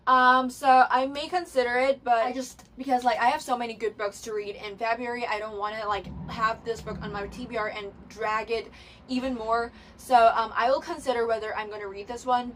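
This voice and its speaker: A woman's voice: on the high side, fairly bright tone, slight background noise, low-quality recording. A young woman whose first language is Cantonese, chatting casually to camera from her bedroom, with lots of fillers and restarts. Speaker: booktuber. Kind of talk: casual bookish chat to camera. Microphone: camera mic.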